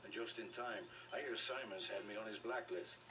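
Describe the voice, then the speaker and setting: A man's voice: lowish voice, fairly quick, lightly acted. An actor playing a loyal, wry male medic friend in a video game. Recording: noisy distant game capture.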